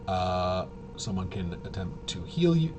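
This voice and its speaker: A man casually explaining game rules unscripted, halting and rambling as he thinks aloud. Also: very animated. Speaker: tabletop RPG reviewer and explainer. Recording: clearly noisy with low rumble.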